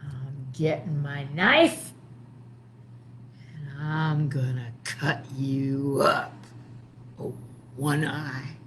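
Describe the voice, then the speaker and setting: A woman voicing a canny old woman, slow and menacing, in a folktale. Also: low voice, slight background noise.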